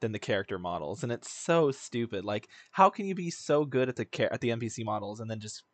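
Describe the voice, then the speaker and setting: A man's voice: medium pitch, lively intonation, quick pace, no room sound. A young man giving casual, rambling, jokey commentary as a game streamer.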